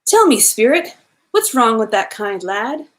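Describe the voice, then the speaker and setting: A woman plays an old miser from a children's storybook. The old man speaks in a high, dramatic voice, full of exclamation and questioning. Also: very animated, unhurried, fairly bright tone. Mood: concerned.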